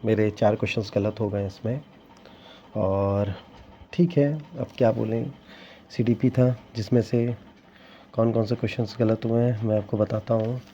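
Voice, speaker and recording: A man's voice: lowish voice, fairly even intonation, normal speed, warm tone, slight background noise. A young man talking casually to his viewers, rambling with frequent restarts and sounding regretful. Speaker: teacher exam candidate sharing his scores. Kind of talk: casual vlog talk to viewers. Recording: dull lo-fi sound with faint ambience.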